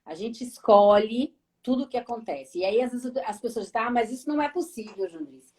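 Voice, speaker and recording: A woman with a southern Brazilian gaúcho accent, a therapist hosting a live talk, lively and speaking straight to her viewers with frequent tag questions. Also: on the high side, brisk. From Rio Grande do Sul, Brazil.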